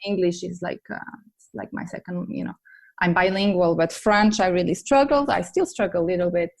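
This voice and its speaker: Podcast guest: middle-aged woman, slightly low voice, speaking conversationally about herself. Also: fairly quick, vocal fry. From Portugal. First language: Portuguese. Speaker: podcast guest, academic editor. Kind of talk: conversational interview.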